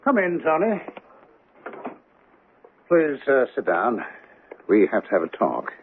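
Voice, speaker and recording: A man's voice: medium pitch, wildly swooping pitch, takes it slowly, slight background noise. A man playing a courteous, troubled, well-off banker in an old-time radio drama, speaking formally and hesitantly. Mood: earnest. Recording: tinny band-limited radio drama recording.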